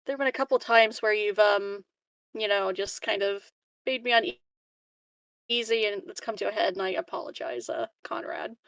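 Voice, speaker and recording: A woman acts out a female colony worker in a sci-fi tabletop game, with a creaky voice and a close, dry sound. She is candid and polite, choosing words hesitantly with some unease. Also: mid-range voice, animated, moderate pace, a bit bright, dry recording. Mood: apologetic, candid. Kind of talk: improvised tabletop roleplay dialogue.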